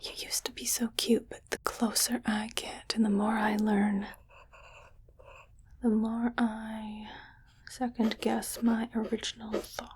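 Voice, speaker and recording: Casting: woman to play a haughty, squeamish female alien for ASMR roleplay; very breathy whisper, unhurried and deliberate. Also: on the high side, flat delivery, exaggerated voice. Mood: haughty, critical. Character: wealthy female alien, condescending, fascinated yet disgusted by humans.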